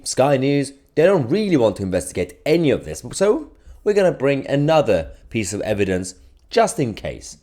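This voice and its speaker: A man's voice: medium pitch, a little mellow. A man hosting an online news channel, delivering opinionated commentary in emphatic bursts. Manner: emphatic, rising and falling in bursts.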